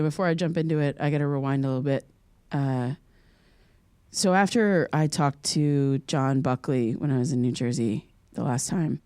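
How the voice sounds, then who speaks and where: An actress playing a pensive woman in her thirties in an audio drama, speaking casually and haltingly as she confides like a podcast host. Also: low voice, mellow, no room sound, clean studio sound. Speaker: amateur investigator and podcast host.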